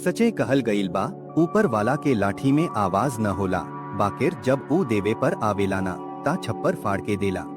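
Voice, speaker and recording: Cartoon village vendor, male actor, Bhojpuri accent: humble, devout, happy husband, lowish voice with big swings, music underneath. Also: sing-song, a little slow. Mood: grateful, assured. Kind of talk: animated moral story dialogue.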